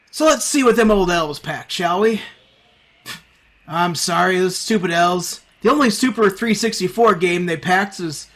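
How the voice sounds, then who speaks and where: An actor playing a flustered but kindly man stuck as Santa Claus, speaking haltingly in an audio drama. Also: high-pitched, a bit bright, exaggerated voice. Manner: hesitant, halting, stammering.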